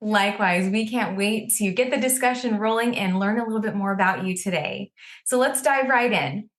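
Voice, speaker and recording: A woman hosting a podcast, reading a scripted guest introduction and then chatting casually in an upbeat manner. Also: medium pitch. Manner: upbeat, reads introduction smoothly. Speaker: podcast host.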